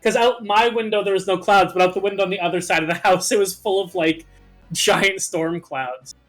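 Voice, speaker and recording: A man's voice: high voice, a little fast, a bit bright. A man in casual, playfully insistent banter with friends as he co-commentates a video game. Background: game music.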